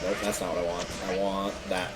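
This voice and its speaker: A man's voice: low voice, flat delivery, fairly quick, slight background noise. A man giving casual, rambling livestream commentary on a video game, often stopping to explain things.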